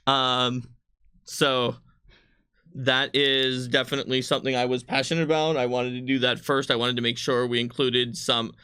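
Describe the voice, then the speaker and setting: A man with a mid-pitched voice talks casually as a game designer on a panel. He explains things in rambling detail, and the sound is dry with no room echo. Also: little pitch movement, moderate pace, pushed and bright, clear, resonant tone. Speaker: game designer answering viewer questions on a livestream. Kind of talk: casual panel chat about game rules.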